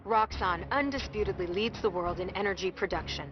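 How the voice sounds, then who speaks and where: An actress playing a crisp, efficient agent delivering scripted mission briefings in a video game. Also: female voice, mid-range voice, brisk, close to an everyday voice. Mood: businesslike. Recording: muffled game audio under music.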